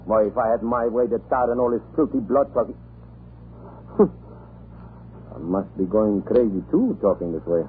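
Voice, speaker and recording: Male tsarist official, lively swinging pitch, talkative, scornful, theatrical, vintage radio play, hissy recording. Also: unhurried, long pauses. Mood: scornful bewilderment. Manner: chatty, emphatic, theatrical. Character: talkative, irreverent Russian government official, excitable, scornful. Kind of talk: 1940s radio drama acting. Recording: muffled, noisy old radio recording.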